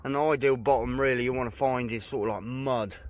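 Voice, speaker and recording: A man's voice: medium pitch, fairly even intonation, a little fast. Man rambling on a small boat. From England. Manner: rambling, with fillers and self-corrections. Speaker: fishing vlogger. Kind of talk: casual gear review. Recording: outdoor noise on the water.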